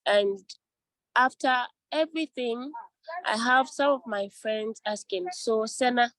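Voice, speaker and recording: A woman's voice: fairly high pitch, slow, a bit bright, no room sound, low-quality recording. A young woman student from Ghana, speaking gratefully and a little hesitantly on a video call. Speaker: university student.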